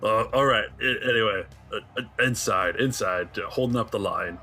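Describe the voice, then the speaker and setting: A man narrating a tabletop roleplaying game as game master, setting scenes in a halting, conversational way. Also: lowish voice, sharp tone.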